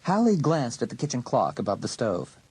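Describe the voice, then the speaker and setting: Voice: medium pitch, sing-song, a little fast, darkish tone, husky. A narrator reading a scripted, dramatic story for an audiobook.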